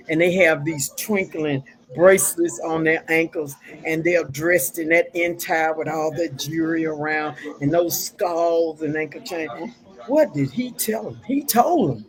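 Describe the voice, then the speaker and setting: A woman's voice: deep voice, a little slow, rough recording. A woman hosting a talk show, telling stories and preaching scripture in a folksy, casual way.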